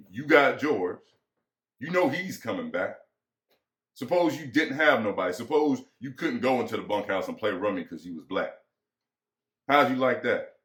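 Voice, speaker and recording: A man's voice: medium pitch, a bit bright. A man acting as bitter, lonely American ranch hand, echoey. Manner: folksy rural vernacular, musing and pressing. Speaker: actor. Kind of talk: dramatic reading of a novel. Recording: echoey room.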